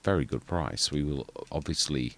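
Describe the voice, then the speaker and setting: Brief: man, very deep and quiet, rambling gameplay commentary. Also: moderate pace, vocal fry. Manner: rambling, self-correcting, muttering asides. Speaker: gaming channel host.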